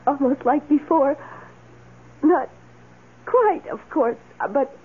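Very high, fluttery housekeeper. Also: female voice, animated, slow. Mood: pleased, fluttery. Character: chatty, good-natured woman housekeeper. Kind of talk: 1970s radio drama acting. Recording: tinny old radio broadcast.

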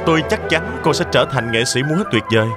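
A gallant young toy soldier, played by a man, from a cartoon fairy tale. He speaks courteously and earnestly at a medium pitch, over faint music. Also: lively intonation, quick pace, close to an everyday voice. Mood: admiring, courteous.

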